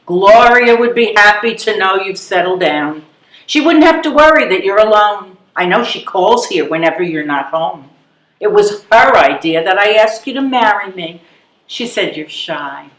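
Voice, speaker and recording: A woman's voice: medium pitch, big pitch swings, moderate pace, a bit bright. A woman playing a blunt, wry and determined elderly widow in a readers theatre comedy, full of pointed questions. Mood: earnest. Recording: echoey live room recording.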